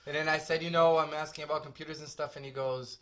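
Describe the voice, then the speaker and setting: A man's voice: fairly high pitch, steady tone, quick pace, bright, forceful tone. A man from Brazil hosting a casual podcast, teasing and arguing playfully with friends and swearing freely. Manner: teasing, argumentative, profane. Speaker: podcast host. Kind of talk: casual banter.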